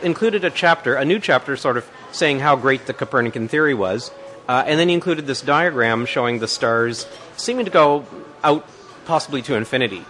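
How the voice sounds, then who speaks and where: A man's voice: medium pitch, a bit bright. A man answering as a guest expert, explaining in a thinking-aloud way with many restarts and fillers.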